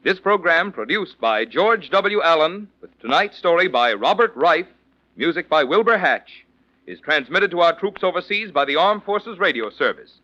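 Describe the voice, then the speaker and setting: A man's voice: fairly high pitch, lively intonation, normal speed, bright, forceful tone. A man announcing for 1940s radio in a scripted, polished, formal and emphatic style. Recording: muffled vintage 1940s radio recording, faint music.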